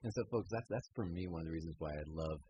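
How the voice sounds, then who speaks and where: A man's voice: sits low, quick pace, lo-fi sound, background noise. A man hosting a casual radio interview, speaking in an encouraging way and addressing listeners directly. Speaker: show host.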